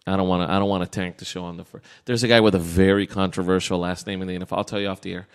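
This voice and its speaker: A man's voice: low-pitched, little pitch movement, very fast, clear, resonant tone. A man hosting a sports podcast in casual, joking banter, with a blunt, crude and opinionated way of talking. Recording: echoey room with long reverb.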